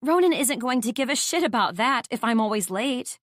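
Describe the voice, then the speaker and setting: A woman's voice: fairly high pitch, animated, fairly quick, no echo, studio quality, natural voice. A woman playing an anxious, wry young assistant, narrating a first-person romance audiobook in a dramatic, self-deprecating way. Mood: anxious, rattled.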